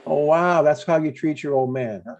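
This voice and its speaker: A man's voice: fairly high pitch, normal speed, a little mellow, natural voice. A man playing a chatty, stubborn older father in a comedy table read, in a conversational, mildly needling way. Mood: breezy, coaxing.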